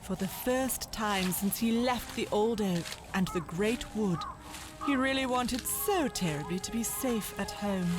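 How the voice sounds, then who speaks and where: A woman's voice: mid-range voice, measured pace. Woman narrating a storybook over music. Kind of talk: scripted literary storybook narration for audio drama.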